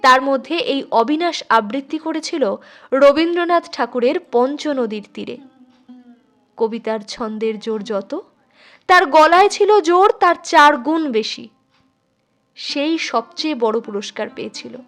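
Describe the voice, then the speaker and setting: A woman's voice: high voice, expressive, a little slow, a bit bright, soft background music. A woman narrating a literary short story expressively, as a storyteller addressing listeners. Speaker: storyteller, channel host.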